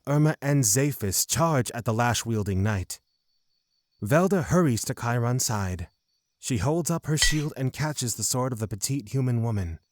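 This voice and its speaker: A man narrating a scripted fantasy audio drama. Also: mid-range voice.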